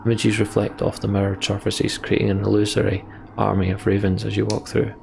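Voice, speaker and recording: A man narrates a video game as a let's player. His voice sits low with plenty of gravel, and some faint noise sits behind it. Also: little pitch movement, a little fast, a little mellow. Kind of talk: reading game text aloud with commentary.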